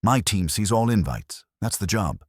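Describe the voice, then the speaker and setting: A man's voice: lowish voice, big pitch swings, normal speed, slightly rough, no room sound, clean studio sound, voice pushed up. A man voicing a stern, suspicious man with a clipped, exact way of speaking, in an audiobook mystery. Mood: defensive.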